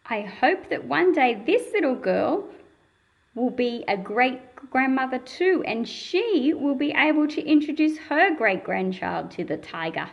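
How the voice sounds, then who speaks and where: A woman's voice: fairly high pitch, creaky, lo-fi sound. A woman reading a picture book aloud to young children and explaining it in simple words, in a patient, teacherly manner.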